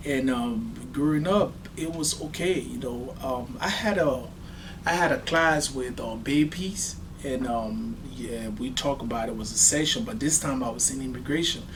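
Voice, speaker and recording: A middle-aged man from Liberia with a Liberian accent, telling his life story in an interview in a halting, reflective way. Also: mid-range voice. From Liberia, partly raised in Ghana. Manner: halting, reflective, frequent "you know". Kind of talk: unscripted personal storytelling in an interview. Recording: distant microphone, very noisy background ambience.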